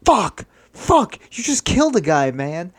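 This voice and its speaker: A man's voice: medium pitch, lively intonation, moderate pace. A man voicing a panicky young male city yuppie, frantic, profane and repeating himself, in an improvised story. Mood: panicked. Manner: frantic, profane, repetitive pleading.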